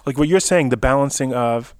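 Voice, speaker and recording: A man's voice: mid-range voice, a little fast, smooth, dry recording, clean studio sound. A man hosting a podcast, asking probing, curious questions of residents. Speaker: podcast host and interviewer. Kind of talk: interview questions.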